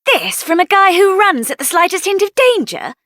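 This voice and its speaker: A woman voicing a feisty, sassy, sharp-tongued young sorceress out for revenge in a video game. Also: extremely high pitch, big pitch swings, moderate pace, no room sound, clean studio sound, exaggerated voice. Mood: sassy, scornful.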